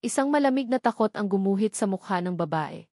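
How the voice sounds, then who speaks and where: A woman's voice: mid-range voice, lively intonation, fairly quick, a little mellow, dry recording, studio quality. A woman with a Filipino accent reads a dramatic romance story as scripted narration.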